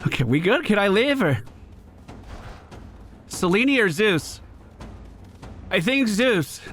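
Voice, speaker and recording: A man doing live gaming commentary, excitable and exclamatory, swearing and rapidly repeating words. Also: high-pitched, soft background music. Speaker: video game streamer.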